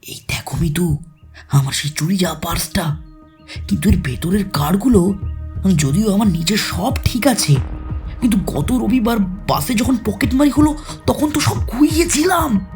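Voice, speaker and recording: Nervous, furtive householder. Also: male voice, high-pitched, lively intonation, a little mellow, soft background music. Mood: hushed, anxious. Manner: halting, mechanical, dropping to near-whispers. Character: nervous, suspicious well-off married householder, stiff and furtive. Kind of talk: Bengali audio story acting.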